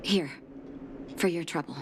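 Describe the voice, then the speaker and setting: An actress playing a kind, caring adult doctor in a game cutscene, speaking gently and earnestly. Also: female voice, on the low side, measured pace, noisy background, natural voice. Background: game music.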